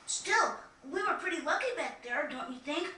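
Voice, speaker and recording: A woman's voice: high voice, animated, moderate pace, pushed and bright, roomy sound, recorded from a distance. A woman voicing an eager, chatty, cheeky young boy in a video game, with a chirpy, informal delivery. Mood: eager, reassuring. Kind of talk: video game cutscene acting.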